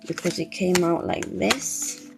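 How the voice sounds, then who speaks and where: A woman's voice: on the low side, unhurried, vocal fry. A woman giving a casual live tarot reading as a spiritual coach, searching for words and often repeating them. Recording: loud music under the voice. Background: music.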